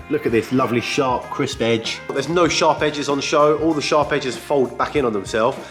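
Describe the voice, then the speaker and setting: A man vlogging casually from his workshop, joking and self-deprecating, with a croaky voice. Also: medium pitch, a bit bright. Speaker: vlogger building a coffee van. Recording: echoey workshop.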